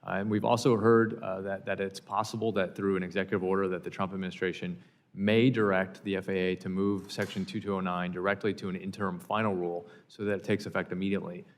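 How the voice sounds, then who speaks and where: A man testifies formally in a low-pitched voice. He talks quickly but stays polite and careful in wording. Long room reverb surrounds his voice. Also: little pitch movement. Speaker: witness at hearing. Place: hearing room.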